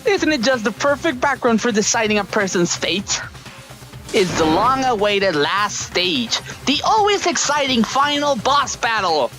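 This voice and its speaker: Villainous bear mascot, anime dub, mocking, theatrical, slow drawn-out taunts, hugely animated. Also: female voice, sits high, heavily acted. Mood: excited, gloating. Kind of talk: anime game fandub voice acting.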